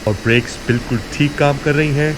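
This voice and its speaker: A man's voice: a little high, fast talker. A man with a Pakistani accent narrating a flight simulator tutorial in a casual instructional way. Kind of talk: casual instructional narration mixing explanation and radio phraseology. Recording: steady clear background hum and noise.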